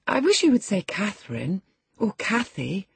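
A woman's voice: mid-range voice, wildly swooping pitch, moderate pace, gravelly, breathy edge, no echo, voice pushed up, heavily acted. A woman voicing a spirited, outspoken young girl in an audiobook story, earnest and impulsive. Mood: tender, earnest.

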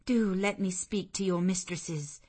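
A woman's voice: medium pitch, unhurried, warm tone, lightly acted. A woman voicing a desperate young woman wanderer who pleads for shelter. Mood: pleading.

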